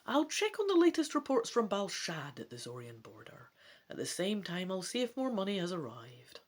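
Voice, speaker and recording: Woman reading as a lively, teasing fantasy companion. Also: mid-range voice, moderate pace. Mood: brisk. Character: teasing female escort and companion in fantasy storybook.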